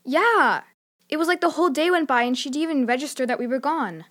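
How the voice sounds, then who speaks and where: A woman's voice: sits high, lively intonation, brisk, a bit bright. A female actor playing a sensible, curious young girl in a children's audio drama, earnest and frank in manner. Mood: wry.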